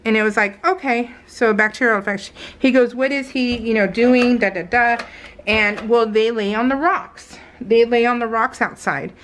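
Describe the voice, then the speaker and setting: An American woman chatting casually as a craft vlogger, telling stories in a rambling, animated way and acting out what people said. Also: fairly high pitch, normal speed, a little hiss or hum. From California, United States. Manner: rambling, animated retelling with acted-out quotes. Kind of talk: casual chat while crafting, voiced in real time.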